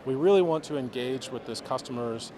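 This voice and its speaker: A man pitching his software at a trade show, explaining its features in a salesy way. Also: a little fast, noisy background. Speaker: software vendor guest. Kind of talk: product pitch. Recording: crowd chatter behind.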